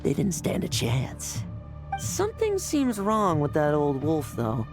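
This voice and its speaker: Cocky young fighter, wildly animated intonation. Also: male voice, on the high side, slow pace, exaggerated voice. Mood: breezy, frank. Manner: blunt, casual, challenging. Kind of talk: anime video game voice acting. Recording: game music behind.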